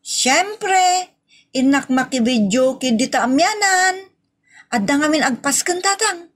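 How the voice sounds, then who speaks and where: A woman's voice: high-pitched, expressive, slow. A woman acting a brash, argumentative young woman who loves karaoke, in an Ilocano comedy audio drama, with a whiny, exclaiming delivery. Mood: whiny, defensive.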